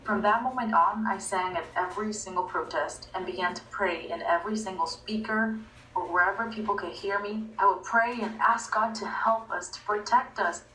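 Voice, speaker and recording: A woman's voice: mid-range voice, moderate pace, pushed and bright. A woman in her twenties from Venezuela, narrating her own personal story. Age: 20s. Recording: echoey, distant playback with faint noise.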